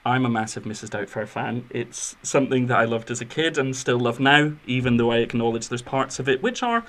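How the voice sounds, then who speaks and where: A man's voice: medium pitch, lively intonation, a little fast, a bit bright. Chatty man hosting a film podcast. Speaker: podcast host. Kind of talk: semi-scripted film podcast chat with biographical narration.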